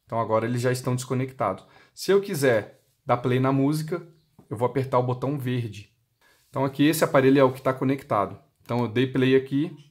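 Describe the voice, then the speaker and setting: A man's voice: medium pitch, quick pace, a little mellow. A man with a Brazilian accent demonstrating a product step by step, explaining by asking and answering his own questions. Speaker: product demonstrator for motorcycle intercom seller.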